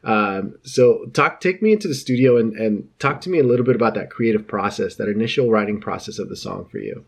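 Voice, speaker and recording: A man's voice: lowish voice, fairly quick, creaky, breathy edge. A man working as an entertainment interviewer, asking questions in a casual, conversational way over a video call.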